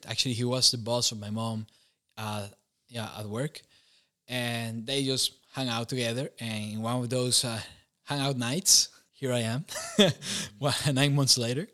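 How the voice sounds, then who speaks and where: A man's voice: medium pitch, fairly bright tone. A young man from Costa Rica with a Costa Rican Spanish accent, a podcast guest telling his life story, laughing often and restarting phrases. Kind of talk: conversational interview.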